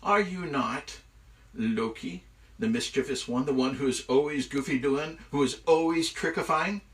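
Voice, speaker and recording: Man voicing a slow, commanding mythic giant, far-off mic. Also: mid-range voice, fairly bright tone, lightly acted. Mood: goading. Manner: slow, deliberate, demanding. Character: huge menacing shapeshifting giant in eagle form, commanding. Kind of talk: live oral myth storytelling.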